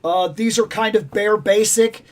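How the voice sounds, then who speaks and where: Casting: high-pitched male livestream host, raspy and hoarse, rambling and animated. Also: measured pace. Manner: rambling, animated, self-interrupting. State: raspy, losing his voice. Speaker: toy channel livestream host. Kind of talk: casual livestream chat.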